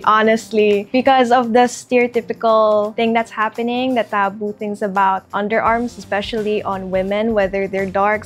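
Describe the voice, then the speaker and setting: A woman in her early twenties with a Filipino accent, speaking candidly about herself as an interview guest. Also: medium pitch. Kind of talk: candid personal confession to camera. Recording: music behind the voice. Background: background music.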